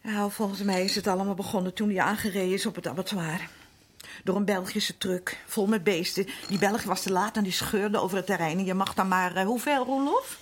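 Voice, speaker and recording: A woman's voice: medium pitch, brisk, slight background noise, close to an everyday voice. An actress playing a chatty middle-aged working-class mother who rambles and defers to her husband, in radio drama. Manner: rambling, repetitive, seeking confirmation. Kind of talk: naturalistic Dutch radio drama acting.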